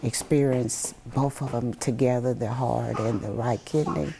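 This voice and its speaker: A woman speaks off the cuff in an interview. Her voice is strikingly deep and creaky, with faint background noise. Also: fairly even intonation, measured pace, warm tone. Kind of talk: unscripted interview remarks.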